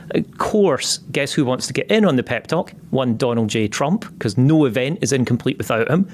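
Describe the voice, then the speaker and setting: A man's voice: mid-range voice, a little hiss or hum. A man with a Scottish accent hosting a podcast interview, chatty and joking, with some repeated false starts. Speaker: podcast host.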